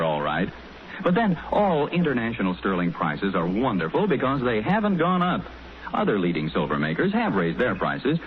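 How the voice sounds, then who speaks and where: An American man working as a 1940s radio announcer, delivering scripted lines in a polished, salesmanlike manner. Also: mid-range voice. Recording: muffled, noisy 1940s radio recording.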